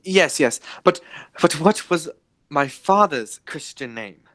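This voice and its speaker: A woman playing an earnest, excitable young gentleman in an amateur radio comedy, formal and theatrical. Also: low voice, slow pace, no room sound, clean studio sound, exaggerated voice. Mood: anxious, insistent.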